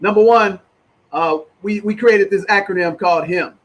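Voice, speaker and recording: A man's voice: high-pitched, normal speed, highs cut off. A man hosting a conversational interview in an effusive way, peppering his talk with "man" and "brother". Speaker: interview host.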